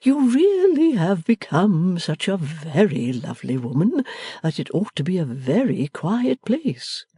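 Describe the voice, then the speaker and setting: A woman reading the part of a timid, fond little father who speaks gently and hesitantly, rambling at times. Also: fairly low pitch, big pitch swings, unhurried, slightly rough, no echo, voice pushed up, exaggerated voice.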